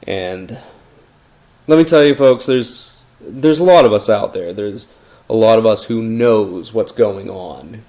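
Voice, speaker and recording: A man hosting a paranormal podcast, telling his story informally in a halting, rambling, conspiratorial way. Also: on the low side, a little mellow. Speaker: podcast host. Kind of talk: informal first-person storytelling monologue, paranormal audio drama.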